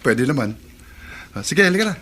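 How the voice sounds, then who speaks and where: A man's voice: mid-range voice, big pitch swings, fairly quick, a bit bright, slightly rough. An actor playing a friendly, teasing fisherman chef in a radio drama. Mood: friendly, curious. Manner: teasing, chatty.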